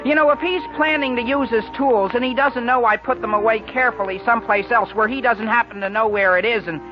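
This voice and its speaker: Muffled vintage radio recording, earnest teenage boy, American accent, high-pitched, anxious, hesitant, full of excuses. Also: brisk, music in the background. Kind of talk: 1940s radio acting.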